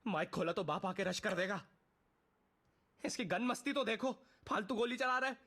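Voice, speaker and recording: A man's voice: sits high, moderate pace, sharp tone. A man voicing a cocky, mocking battle-game fighter, delivering theatrical taunting one-liners. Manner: theatrical, taunting, filmi punchlines.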